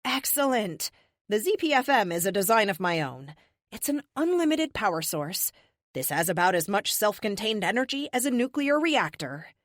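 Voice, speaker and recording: Audiobook heroine played by a woman: flippant, sarcastic, bold, raised pitch with big swoops. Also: moderate pace, no room sound, clean studio sound, natural voice. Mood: gleeful bluffing. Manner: sarcastic, animated. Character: sassy, flippant American heroine, theatrically bold bluffer.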